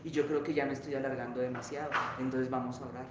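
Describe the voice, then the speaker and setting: A man's voice: medium pitch, level tone, fast talker, dull audio, heavy background noise. A man preaching a sermon, then leading the church in emphatic, fervent prayer. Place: church hall. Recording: very echoey church hall with guitar.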